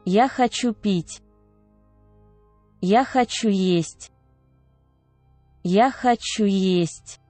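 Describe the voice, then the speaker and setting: A woman reading short phrases aloud one at a time, each clearly enunciated and measured. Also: medium pitch, dark tone, no echo, soft background music. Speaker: Russian phrase reader. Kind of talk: slow repeated phrase reading.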